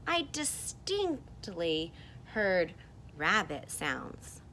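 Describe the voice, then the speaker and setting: A woman's voice: lowish voice, very animated, drawn-out words, pushed and bright, slight background noise, exaggerated voice. A woman voicing a stubborn storyteller who insists the animal is a rabbit. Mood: teasing.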